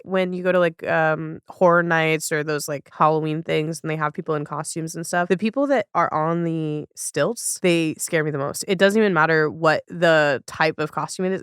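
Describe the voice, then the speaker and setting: A woman's voice: low-pitched, clear, resonant tone, no room sound, studio quality. A woman hosting a casual, chatty interview, with effusive reactions and rambling asides. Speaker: podcast host.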